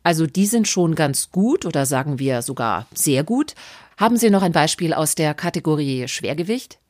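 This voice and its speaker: A woman interviewer asking brisk, probing questions. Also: low-pitched, lively intonation, darkish tone, no room sound, clean studio sound.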